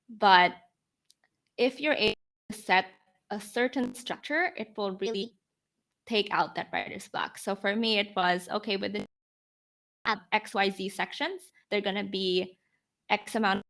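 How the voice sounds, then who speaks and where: A young woman of about twenty, from the Philippines, speaks as a guest in an interview. Her voice sits at a medium pitch. She explains things informally and conversationally, often voicing questions to herself. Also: fairly bright tone. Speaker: podcast guest, newsletter founder.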